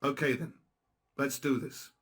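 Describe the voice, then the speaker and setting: A man's voice: mid-range voice, fairly even intonation, measured pace, a bit bright. An actor playing a resistance leader in a video game, blunt, dry and sarcastic as he briefs his men. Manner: dry, sarcastic, matter-of-fact. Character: dry, blunt male guerrilla commander. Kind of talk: video game cutscene acting and narration.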